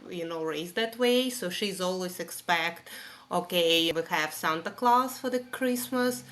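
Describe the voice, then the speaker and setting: Woman, Russian accent, bright tone, lower pitch, casual interview talk, dropped articles. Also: unhurried. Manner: non-native phrasing with dropped articles. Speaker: podcast guest.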